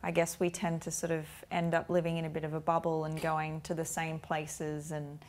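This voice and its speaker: Woman talk-show host, lowish pitch, easygoing and inquisitive. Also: completely flat delivery, normal speed. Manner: gently inquisitive, meandering questions. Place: TV studio.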